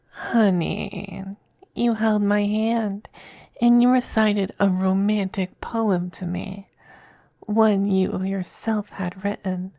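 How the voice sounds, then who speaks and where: A performer acting a smug, affectionate demon femboy in an intimate ASMR roleplay, teasing and coy, with breathy turns into whispers. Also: mid-range voice, steady tone, takes it slowly, a little mellow, dry recording, clean studio sound. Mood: mocking, possessive.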